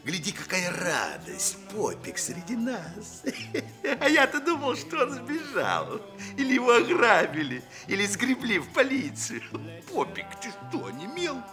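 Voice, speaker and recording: An actor playing a small, excitable, menacing mafia thug in a radio drama, falsely friendly and taunting. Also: male voice, sits high, measured pace, over music, exaggerated voice. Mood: boisterous, mocking. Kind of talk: Soviet radio drama acting.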